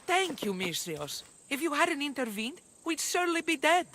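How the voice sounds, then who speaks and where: An actress playing a shrewd, unsentimental ancient Greek herb farmer in a video game, matter-of-fact in manner. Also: female voice, high voice, big pitch swings, normal speed, fairly bright tone, a little hiss or hum. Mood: grateful, matter-of-fact. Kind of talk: video game cutscene acting.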